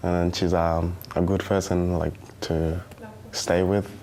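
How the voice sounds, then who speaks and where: A nineteen-year-old man from tells his own story for a documentary, speaking haltingly with many fillers and restarts. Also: low voice, flat delivery, dark tone. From South Sudan. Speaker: documentary interviewee. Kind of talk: personal testimony in a youth documentary.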